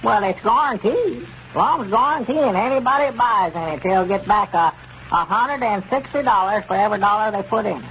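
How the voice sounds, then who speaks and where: An actor playing a gullible, earnest country storekeeper with a rural Southern drawl, in a 1930s radio serial. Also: male voice, sits high, expressive, moderate pace, fairly bright tone. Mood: earnest, fretful. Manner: drawling, folksy, ungrammatical speech. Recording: dull, noisy 1930s radio recording.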